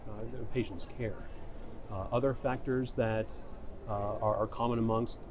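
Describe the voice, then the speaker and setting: A middle-aged man giving measured, explanatory interview answers as a medical specialist, often restating his point. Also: mid-range voice. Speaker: emergency radiologist being interviewed. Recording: clear ambient venue noise. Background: background ambience noise.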